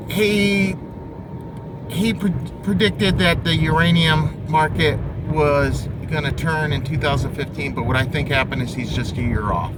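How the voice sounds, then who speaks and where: A man giving an unscripted investing opinion in a halting, thinking-aloud way, as an amateur commentator. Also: a little high. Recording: clearly noisy, vehicle and outdoor-like background.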